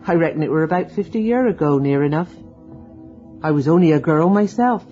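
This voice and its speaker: A woman's voice: low-pitched, lively intonation, a little fast, dark tone, faint music behind. A woman playing a deferential, superstitious old charwoman in a radio drama, hinting at gossip. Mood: gossipy. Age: older. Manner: deferential, hinting, rustic turns of speech. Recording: dull lo-fi radio drama recording.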